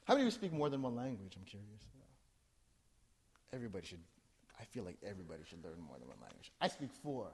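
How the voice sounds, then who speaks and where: A man's voice: on the low side, big pitch swings, fast, a little mellow, slight background noise. A middle-aged man from New Jersey who chats casually with a live audience, then performs a spoken word poem with rising intensity. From New Jersey, USA. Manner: conversational, asks the audience questions, then recites with rising intensity. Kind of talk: live poetry reading with talk to audience.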